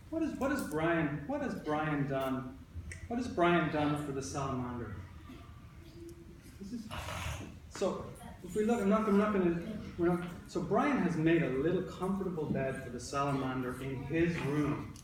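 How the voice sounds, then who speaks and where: A man working as an elementary school teacher, reading aloud to young children and talking with them in an instructive way, repeating and rephrasing. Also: fairly high pitch. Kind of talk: interactive read-aloud to a class of young children. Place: indoor classroom. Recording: roomy, echoey, distant mic with background noise.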